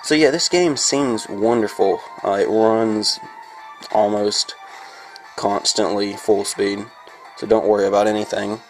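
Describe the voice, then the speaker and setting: Gravelly congested man reviewing a game. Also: on the low side, steady tone, unhurried, faint music behind, rough recording. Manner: rambling, frequent fillers. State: congested from a sinus infection. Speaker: gameplay reviewer. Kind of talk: casual gameplay commentary.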